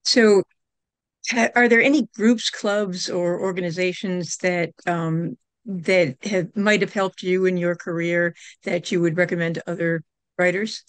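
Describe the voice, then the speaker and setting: An older woman interviewing an author over a video call from a room lined with bookshelves, friendly and quick to laugh. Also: lowish voice, fairly even intonation, clean tone, no echo. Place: home room full of bookshelves.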